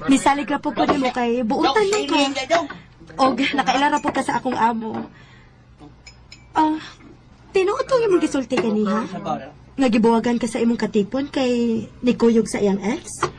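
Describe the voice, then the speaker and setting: A woman's voice: high-pitched, expressive, normal speed, pauses often, crowd noise. A woman acting a shy, trusting young working woman in love in a Bisaya radio drama, with a Cebuano Filipino accent. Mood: coy.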